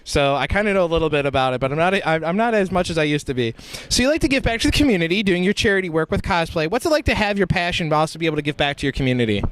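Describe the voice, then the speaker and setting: A man's voice: on the high side, sing-song, racing, fairly bright tone. A man conducting a casual, polite interview on location at a convention. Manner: polite, informal. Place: convention venue. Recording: on-location interview with background noise.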